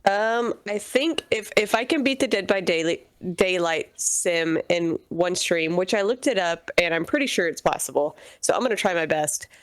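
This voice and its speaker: A woman guest on a casual podcast, relaxed and sniffly from a cold. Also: on the low side, moderate pace, few pauses, no room sound.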